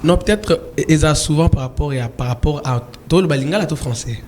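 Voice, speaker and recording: A man from eastern DR Congo, a gospel singer, answering interview questions calmly with hesitant restarts. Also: fairly high pitch, a little fast, background noise. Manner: calm, hesitant with repeated restarts.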